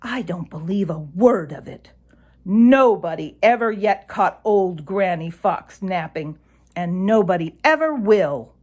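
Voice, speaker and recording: A woman's voice: medium pitch, expressive, measured pace, a little mellow. A woman voicing a boastful, hot-tempered young male fox in a storybook, snappish and indignant. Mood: angry, defiant.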